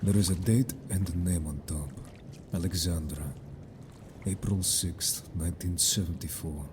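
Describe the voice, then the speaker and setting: A man playing a stern, blunt merfolk companion in an audio drama, formal and measured in speech, suspicious of humans. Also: sits low, noisy background. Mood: grave, probing.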